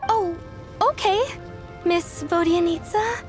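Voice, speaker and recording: A woman's voice: very high voice, takes it slowly, over music. A woman voicing a shy, polite young girl in a video game, modest, awkward and hesitant. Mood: bashful. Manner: hesitant, deferential.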